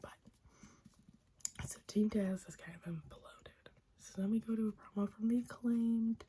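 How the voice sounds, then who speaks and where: A woman's voice: medium pitch, slightly rough. A young woman whispering a chatty recap of a wrestling show, gushing like a fan and giggling often. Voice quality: soft whisper, frequent giggles.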